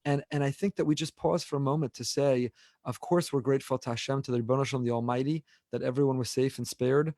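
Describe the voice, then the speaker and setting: A man's voice: medium pitch, fairly even intonation, fast, warm tone, no room sound, studio quality. A man in his forties, a rabbi hosting an unscripted podcast discussion, talking earnestly and repeating words as he builds his point. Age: 40s.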